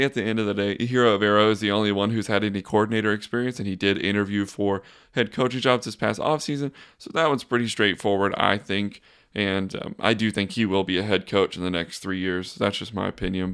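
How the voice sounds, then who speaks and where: A young man hosting a casual solo sports podcast, talking in a flat, monotone, rambling way. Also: fairly low pitch, clear, resonant tone. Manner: monotone, says so himself; relaxed, rambling with fillers and restarts. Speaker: podcast host.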